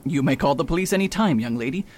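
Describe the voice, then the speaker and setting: A man's voice: fairly high pitch, expressive, quick pace, a little mellow, slight background noise, natural voice. A man playing a confident detective doctor in an amateur radio drama, laying out precise deductions. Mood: self-assured. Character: confident middle-aged male detective doctor.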